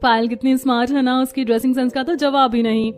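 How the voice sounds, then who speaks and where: A woman voicing polite young women of an Indian family in a cartoon story, with expressive delivery. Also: high voice, quick pace, close to an everyday voice. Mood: admiring. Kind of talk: scripted cartoon story dialogue.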